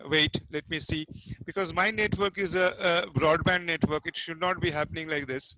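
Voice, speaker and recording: High-voiced man teaching an online class. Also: fairly even intonation, moderate pace, pushed and bright. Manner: repeats questions and answers them himself. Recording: noisy online class audio.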